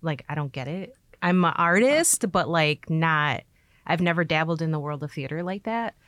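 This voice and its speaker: Low-pitched woman chatting casually. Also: animated, normal speed, fairly bright tone, dry recording, studio quality. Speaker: artist interviewee. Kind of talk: casual interview chat.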